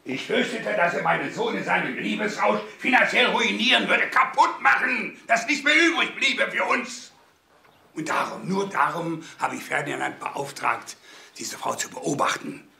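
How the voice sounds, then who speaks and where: An older man playing a frail, anxious aristocratic count in a crime drama, agitated and formal, with a gravelly, strained voice. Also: high voice, animated, normal speed, pushed and bright, exaggerated voice. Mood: agitated, bitter. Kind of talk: German crime drama acting.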